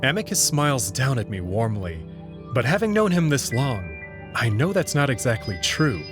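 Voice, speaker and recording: Animated male story narrator over music. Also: medium pitch, moderate pace. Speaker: host and narrator of a visual novel read-through. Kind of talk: scripted expressive story narration. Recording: music behind the voice. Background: background music.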